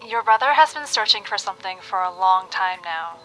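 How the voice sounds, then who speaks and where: A woman's voice: medium pitch, expressive, a little fast, sharp tone, soft background music. A woman playing an earnest, secretive young woman in an audio drama, insistent and cryptic on a call. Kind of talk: audio drama phone conversation.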